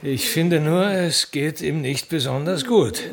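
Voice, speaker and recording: A man's voice: on the high side, a little slow, creaky. An actor playing a put-upon, kindly servant in his fifties in a radio play, with a polite, hesitant, earnest manner. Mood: polite, reproachful. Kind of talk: naturalistic radio play acting.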